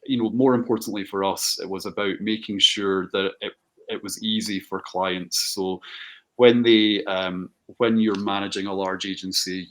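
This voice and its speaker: A man speaking as a company director presenting a product, in a conversational, hesitant way with repeated words. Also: on the low side.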